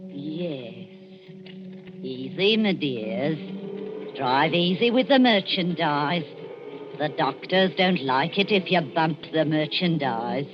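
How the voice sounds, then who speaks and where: Sinister old crone, muffled vintage radio. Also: female voice, deep voice, lively intonation, slow. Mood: sly crooning. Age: older. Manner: scolding, muttering, folksy turns of phrase. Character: sinister, shrewish old body-snatcher matriarch, scolding and crooning. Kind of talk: old-time radio drama acting. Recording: muffled vintage radio recording, organ-style music behind.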